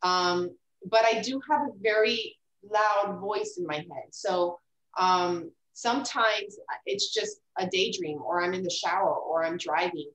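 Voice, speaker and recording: A woman's voice: lowish voice, unhurried, a bit bright, reverberant, poor audio. A woman author who talks about her writing in a casual interview, hesitant and full of fillers and repeated words. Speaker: guest author.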